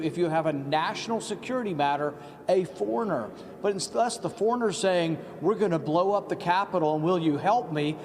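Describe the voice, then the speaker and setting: A man's voice: high-pitched, a little mellow, long reverb tail. An American man giving emphatic, accusatory political commentary.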